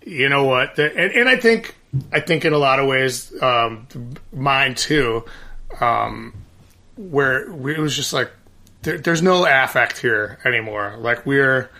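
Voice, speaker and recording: Gravelly guy with a bright tone, rambling on with lots of likes and uhs. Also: mid-range voice, animated, unhurried. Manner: rambling, many restarts and fillers. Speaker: singer in a band, guest. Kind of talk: casual conversation.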